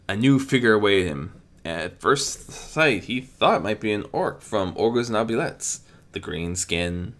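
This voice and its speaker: A man's voice: mid-range voice, fairly bright tone. A man reading a scripted adventure story as narrator, dramatic in delivery, with an amateur's occasional stumble.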